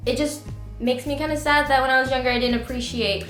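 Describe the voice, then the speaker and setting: A woman's voice: sits high, steady tone, fast, a bit bright. Young woman co-host, sincere casual chat; echoey room with light music under it. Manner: earnest, reflective. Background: faint background music.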